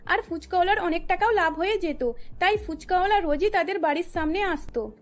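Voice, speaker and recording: A woman's voice: extremely high pitch, a little fast, soft background music. A woman narrator reading a scripted cartoon story.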